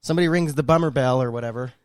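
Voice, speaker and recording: A man's voice: medium pitch, very fast, darkish tone, dry recording, studio quality. A man co-hosting a podcast in casual banter, joking and informal, steering the conversation along. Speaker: podcast co-host.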